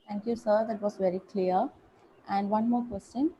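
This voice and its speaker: Webinar host, woman from India, formal and courteous, soft mellow tone, faint noise behind. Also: mid-range voice, steady tone, moderate pace, rough recording. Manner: polite and formal, addresses the guest as sir. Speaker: webinar moderator.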